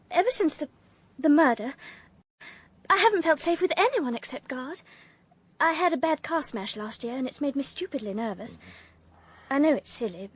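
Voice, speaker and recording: A nervy young 1920s English society girl in a radio play. Her high, lilting voice leaps about as she chatters and confides with an upper-class accent. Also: no echo. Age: young adult. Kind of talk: period radio drama acting.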